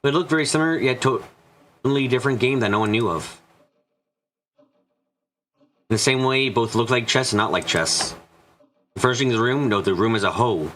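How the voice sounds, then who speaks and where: A man's voice: medium pitch, brisk, long pauses, fairly bright tone, slight background noise. A man reading scripted story narration for a visual novel.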